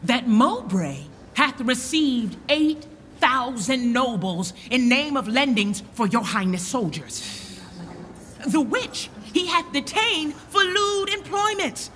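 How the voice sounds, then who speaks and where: A woman's voice: high voice, very animated, takes it slowly, fairly bright tone, a little hiss or hum, full character voice. A woman playing a proud, wrathful young nobleman, declaiming scornful accusations in Shakespearean verse. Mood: grave accusation. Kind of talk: Shakespearean verse drama acting. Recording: long echoing hall reverb.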